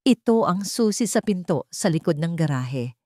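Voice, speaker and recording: A woman's voice: on the low side, animated, moderate pace, warm tone, no room sound, clean studio sound. A woman voicing an anxious but determined young man from a rich family, in earnest story narration. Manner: shocked, earnest.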